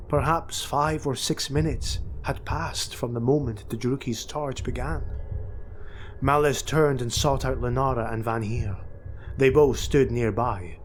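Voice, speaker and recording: Guy reading fantasy audiobook, really slow dramatic delivery, medium pitch, some noise behind him. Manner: deliberate, measured storytelling. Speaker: audiobook narrator. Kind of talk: dramatic scripted fantasy narration.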